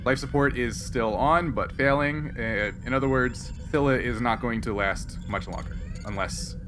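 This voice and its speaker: A man's voice: medium pitch, normal speed, noisy background. A man acting as game master, narrating and refereeing a tabletop game in a casual, sometimes profane way.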